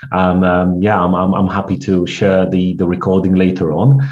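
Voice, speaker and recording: A man with a Polish accent, a guest in an interview, telling stories in a halting way with many restarts. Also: low voice, little pitch movement. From Poland. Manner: rambling, halting storytelling with frequent restarts. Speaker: guest, networking coach. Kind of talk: conversational podcast interview. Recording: echoey, dull lo-fi sound.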